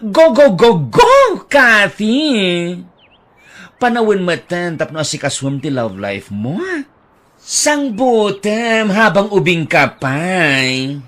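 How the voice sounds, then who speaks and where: A woman voicing a lively, teasing female friend in an audio drama, full of exclamations. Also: on the low side, slow, full character voice.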